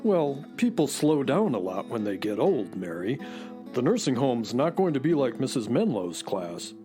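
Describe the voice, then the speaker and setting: An actor voicing a patient, sensible space horse in a children's radio play, calmly explaining things. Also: mid-range voice, normal speed, over music, close to an everyday voice.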